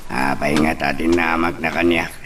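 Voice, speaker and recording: An actor with a Filipino accent playing a guarded, plain-spoken personal driver in an audio drama, casual and questioning. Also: male voice, a bass voice, fairly even intonation, moderate pace, over music. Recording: noticeable background noise.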